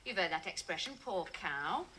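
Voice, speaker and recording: A woman's voice: lowish voice, expressive, normal speed, heavy background noise, lightly acted. An actress playing a patient middle-aged wife who coaxes her husband. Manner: coaxing and reasonable. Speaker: sitcom wife.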